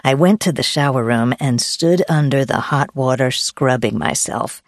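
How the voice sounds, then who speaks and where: A woman's voice: rumbling low, moderate pace, dry recording, studio quality. A woman reading a first-person memoir as a scripted audiobook narration.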